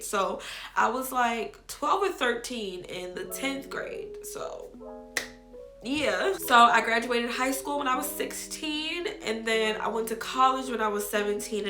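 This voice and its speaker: A woman's voice: fairly high pitch, creaky. A young woman from Texas, a content creator, chatting candidly to camera in a casual vlog and calling her viewers y'all. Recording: echoey room with music playing.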